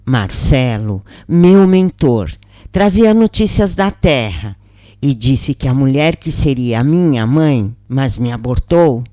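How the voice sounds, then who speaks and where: Slow, expressive woman narrator. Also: rumbling low, dry recording, studio quality. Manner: slow, expressive, emotional first-person reading. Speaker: channel narrator. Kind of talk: slow spiritual story narration.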